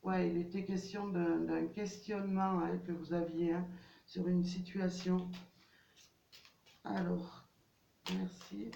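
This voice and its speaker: Slow, halting woman with a gravelly voice. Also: lowish voice, level tone, dark tone. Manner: hesitant, many fillers and restarts. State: has a cold. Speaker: tarot card reader. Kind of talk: casual card reading to viewers. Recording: distant, dull, roomy home recording.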